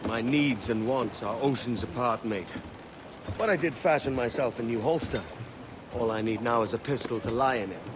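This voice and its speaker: An actor playing a cocky, roguish young pirate captain with a Welsh accent and a wry, bantering way of talking in a video game cutscene. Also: male voice, mid-range voice, moderate pace, background noise, lightly acted. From Wales.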